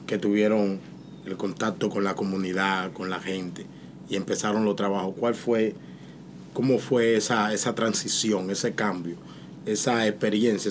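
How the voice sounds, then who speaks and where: A man's voice: lowish voice, a little hiss or hum. A man co-hosting a podcast, asking a question conversationally and rephrasing it as he goes. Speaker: podcast co-host.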